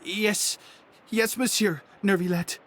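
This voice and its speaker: A woman's voice: medium pitch, fairly even intonation, takes it slowly, a bit bright, a little hiss or hum. A woman voicing a meek, nervous henchman in a video game, hesitant and stammering. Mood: nervous, cornered.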